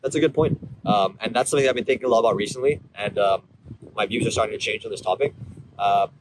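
A man's voice: lowish voice, quick pace. A man in his twenties from Thailand, a guest in a casual outdoor conversation, explaining his views earnestly with frequent ums. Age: 20s. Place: outdoors under trees. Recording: loud outdoor ambient noise.

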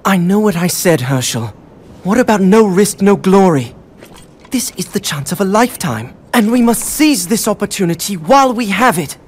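An actor playing an eager, headstrong young male adventurer in an animated game, earnest and persuasive. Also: sits high, normal speed, breathy edge. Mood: determined. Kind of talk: animated game cutscene acting.

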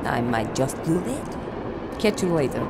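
A woman's voice: low voice, big pitch swings, a little slow, creaky, heavy background noise, heavily acted. A woman playing a composed, direct young military test engineer in a video game cutscene. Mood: teasing. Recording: loud music over the voice.

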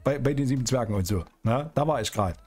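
A man's voice: mid-range voice, fast, darkish tone, husky. A middle-aged man chatting casually and colloquially in improvised game role-play, with a teasing, grumbling manner. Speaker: streamer role-playing in an online game. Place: small indoor streaming room. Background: music in the background.

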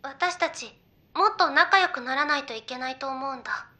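Bright, high-voiced young idol girl. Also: moderate pace. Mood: earnest, persuasive. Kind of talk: Japanese anime audio drama, naturalistic banter.

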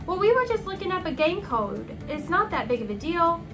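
A woman's voice: very high voice, very animated, fairly quick, over music, pitched above the performer's own voice, exaggerated voice. A woman voicing an impulsive, sheepish young schoolboy who protests defensively in a children's storybook.

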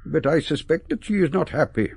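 Sentimental old gentleman, radio play: husky, expressive, eloquent, deliberate. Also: on the high side, exaggerated voice. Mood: thoughtful. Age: older. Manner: theatrical, wistful, deliberate phrasing. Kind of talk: radio drama acting.

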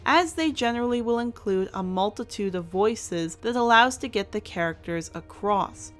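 A woman's voice: medium pitch, fairly bright tone. A woman hosting a review in semi-scripted commentary, speaking in an enthusiastic, emphatic way. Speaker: host and reviewer.

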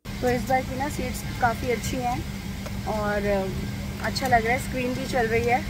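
Woman, 40s, casual travel vlogger, slightly high voice, phone mic at arm's length, loud airliner cabin hum behind her. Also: steady tone, moderate pace. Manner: chatty, describing food and seats as she films. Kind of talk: casual vlog chat to camera. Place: airport lounge and airliner cabin. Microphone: phone camera at arm's length. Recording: loud aircraft cabin noise. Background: airplane engine and cabin hum.

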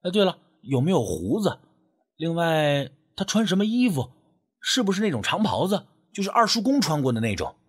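A man's voice: fairly high pitch, big pitch swings, normal speed, slightly rough. A man playing an inquisitive, irritable, skeptical friend in an audio story, asking blunt, probing questions.

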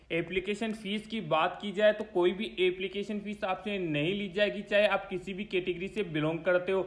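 High-pitched Indian man explaining step by step. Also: a bit bright. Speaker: tutorial host. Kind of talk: explanatory voice-over guide to filling in a form.

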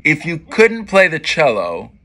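Bright-voiced man firing playful questions. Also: on the high side, wildly swooping pitch. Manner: chatty, playful quick-fire questions. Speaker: host, pianist. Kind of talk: casual video-call interview. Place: indoors, small room. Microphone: video call.

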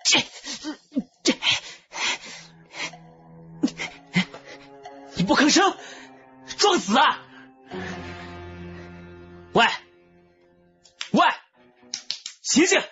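An actor playing a hot-headed, guilt-ridden young loafer who stammers, in a Chinese crime radio drama. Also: male voice, extremely high pitch, big pitch swings, drawn-out words, long pauses, pushed and bright, dull audio, faint music behind, exaggerated voice. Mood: menacing, frantic.

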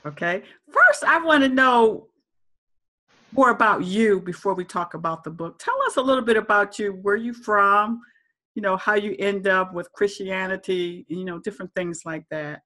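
A middle-aged woman hosts a talk show over a video call. Her voice sits at a medium pitch, and she interviews in a conversational way. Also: normal speed, sharp tone. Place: indoor room.

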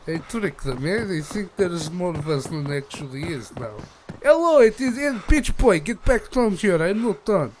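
Brash mock-Russian commander a man puts on, high-pitched, bragging in broken English, with a faint hiss on the headset mic. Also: sing-song, moderate pace, darkish tone. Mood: boastful. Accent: put-on Russian. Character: crude boastful Russian male squad commander. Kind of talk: in-game voice chat roleplay.

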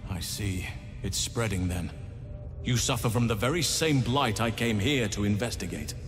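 A man playing a grave, wry elf druid warrior in a video game, measured and formal. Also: lowish voice, natural voice. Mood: grave, resolute. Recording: reverberant stone room, music behind.

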